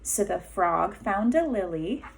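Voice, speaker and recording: A woman's voice: medium pitch, expressive, slow pace, creaky. A woman reading a storybook aloud to young children as a teacher, drawing out key words for emphasis.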